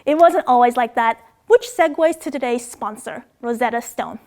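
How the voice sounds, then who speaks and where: A woman from Macau, raised in Australia, hosting a scripted educational video about words and languages in an upbeat, playful way. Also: normal speed. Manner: upbeat, playful, with excited jumps in pitch.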